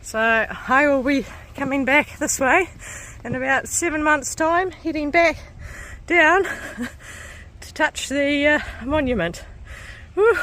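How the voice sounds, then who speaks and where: A woman's voice: high voice, slow pace, fairly bright tone. A woman in her forties with a New Zealand accent, vlogging casually as she hikes a coastal trail and laughing often. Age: 40s. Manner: chatty, laughs often, breathy from climbing stairs. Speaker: hiking vlogger. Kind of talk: casual vlog narration while hiking.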